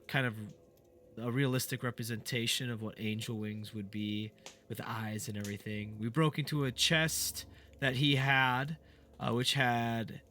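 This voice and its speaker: A man who is sick and a bit raspy, hosting a game playthrough in casual, rambling commentary with many fillers and restarts. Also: medium pitch, a bit bright. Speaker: gaming channel host. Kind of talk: casual let's play commentary.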